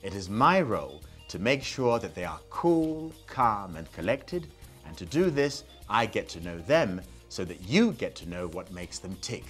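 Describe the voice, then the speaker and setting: A man's voice: lowish voice, sing-song, unhurried, faint music behind. A man hosting a quiz show, narrating and asking contestants questions with playful banter. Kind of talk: scripted narration and quizmaster questions.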